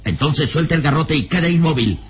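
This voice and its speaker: An actor as the narrator of an adventure radio serial, delivering scripted drama with emphatic suspense. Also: male voice, on the high side, expressive, a little fast, a little hiss or hum. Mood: dramatic. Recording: old radio serial, loud music bed.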